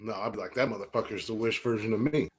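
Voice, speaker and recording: A man's voice: lowish voice, fast, slight background noise. A man co-hosting a podcast, rambling in blunt, casual talk and swearing a lot. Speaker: podcast co-host. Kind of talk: casual profane banter.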